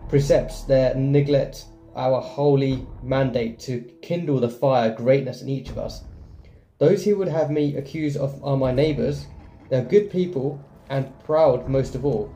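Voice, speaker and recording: A man's voice: mid-range voice, measured pace, mellow, a little hiss or hum. A man hosting a gaming video, reading game text aloud and adding casual commentary. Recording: echoey room sound. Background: faint game music.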